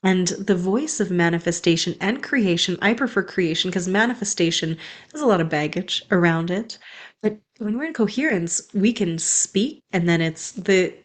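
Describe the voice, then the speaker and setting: A woman's voice: on the low side, lively intonation, normal speed. A young woman, a spiritual coach, speaking in an earnest, flowing, affirming way in an inspirational monologue.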